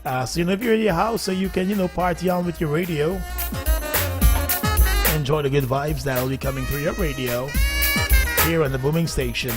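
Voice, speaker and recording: A Guyanese man hosting a casual morning radio show, chatty and quick to laugh, with rambling asides. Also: a little high, loud music behind the voice. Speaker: radio host.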